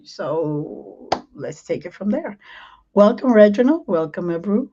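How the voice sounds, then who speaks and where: A woman hosting a talk show with a hoarse, strained voice, chatty and pausing often with ums and throat clearing. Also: mid-range voice, big pitch swings, warm tone, dull audio. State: hoarse, losing her voice. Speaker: host.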